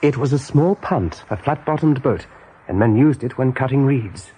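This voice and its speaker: A man narrating an animal adventure as a calm storyteller in a radio play. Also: medium pitch, moderate pace, slightly rough. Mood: measured.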